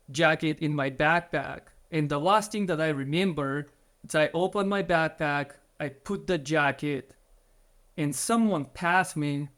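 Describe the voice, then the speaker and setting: A man's voice: fairly high pitch, fairly bright tone. A man from Bulgaria, in his thirties, earnestly telling his story as an interview guest, with slightly phrasing.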